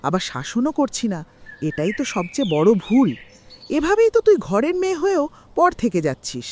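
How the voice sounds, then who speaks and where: Cartoon village mother-in-law, actress: older woman, gentle, sentimental, moralizing, animated pitch, birds and faint noise behind. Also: brisk. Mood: confiding, regretful. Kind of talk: cartoon voice acting.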